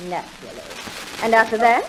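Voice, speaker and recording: An actress playing a prim, exasperated spinster schoolteacher with sarcastic, precise delivery in a 1940s radio comedy, on an old hissy recording. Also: female voice, fairly high pitch, animated, a little fast, natural voice, low-quality recording. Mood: prim, instructive. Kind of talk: 1940s radio sitcom acting.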